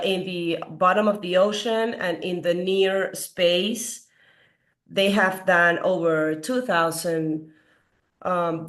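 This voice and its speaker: Slightly hoarse woman lecturing. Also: fairly low pitch. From Peru. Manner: earnest, questions the audience with frequent fillers. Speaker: doctor giving a health talk. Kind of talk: explanatory lecture.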